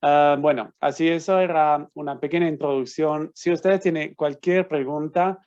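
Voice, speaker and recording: A man's voice: fairly high pitch, fairly even intonation, normal speed, darkish tone. A Swiss man lecturing online as an invited lawyer, speaking a Spanish he learned in Argentina, hesitant with many restarts and fillers. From Switzerland. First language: not Spanish. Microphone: computer mic on video call. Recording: slightly dull lo-fi sound.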